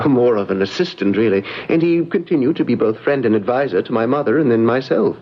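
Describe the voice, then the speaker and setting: Troubled young heir, husky and animated, muffled vintage radio recording. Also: male voice, medium pitch, a little fast. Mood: puzzled, open. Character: troubled, haunted young son of a banker. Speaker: radio drama actor. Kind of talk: 1970s radio drama acting. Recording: dull lo-fi old radio broadcast.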